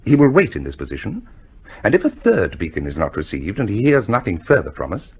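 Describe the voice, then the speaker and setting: A self-assured military official in a radio play. His voice is husky and fairly low, measured and didactic, with big swoops of pitch. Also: wildly swooping pitch, exaggerated voice. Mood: composed, assured. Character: composed, self-assured male military official explaining a launch system. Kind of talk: broadcast radio drama acting.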